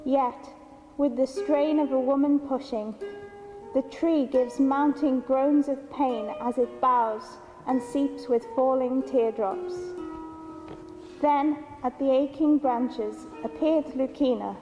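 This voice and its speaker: Female poetry reader, high pitch, unhurried pace, deliberate short phrases. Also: fairly even intonation, mellow, dull audio. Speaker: translator reading their own translation. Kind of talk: measured literary poetry reading. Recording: long hall reverb with music.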